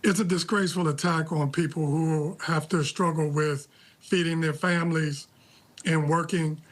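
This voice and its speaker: High-voiced American man giving measured commentary. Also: fairly even intonation. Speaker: political analyst, interview guest. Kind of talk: live news commentary.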